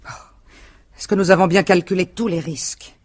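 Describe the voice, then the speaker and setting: An actress playing a devoted, anxious wife drawn into a scheme in a vintage French radio mystery, speaking in an earnest, dramatic way. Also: female voice, fairly low pitch, fairly even intonation, normal speed, a little mellow, dry recording, natural voice. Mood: worried. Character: devoted, anxious wife, reluctant accomplice in a hoax.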